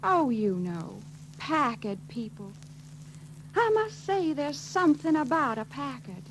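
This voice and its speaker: An actress playing a chatty, sly young beauty parlor operator in 1940s film style. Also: female voice, high voice, sing-song. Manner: drawn-out, knowing gossip. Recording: faintly noisy 1940s film soundtrack.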